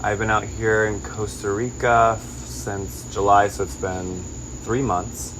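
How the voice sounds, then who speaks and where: A man's voice: fairly low pitch, little pitch movement, a little slow, noisy background. A man from Los Angeles with a Californian accent, answering interview questions in a casual, informal way with lots of "like". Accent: Californian American. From Los Angeles, United States. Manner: informal, peppered with "like". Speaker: interview guest, singer-songwriter and digital nomad.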